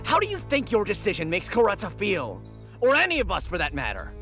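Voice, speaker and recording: A woman's voice: medium pitch, huge pitch swings, fairly quick. A woman playing a hot-headed, outspoken yet vulnerable young male fighter in an anime audio drama. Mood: indignant. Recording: music under the voice.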